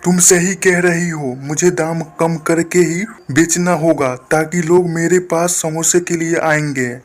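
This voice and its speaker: A man's voice: a little high, steady tone, takes it slowly. A man voicing a poor, humble village samosa seller, meek and plaintive, in a cartoon moral story. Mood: dejected.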